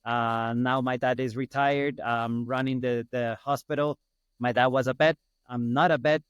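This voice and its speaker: A middle-aged man from Ecuador with a Spanish accent talks casually as a podcast guest. His voice is clean and smooth, in a dry recording, and he often repeats phrases to make a point. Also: mid-range voice, steady tone, moderate pace, dry recording, dull audio. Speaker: podcast guest, hospital business owner. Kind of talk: casual interview storytelling.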